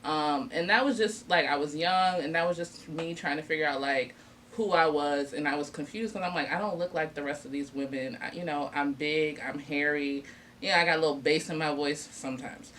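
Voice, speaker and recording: A woman's voice: low-pitched, fairly quick, fairly bright tone. A young woman hosting a podcast, talking casually and directly with slang and asking questions. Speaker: podcast host. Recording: distant mic with faint noise.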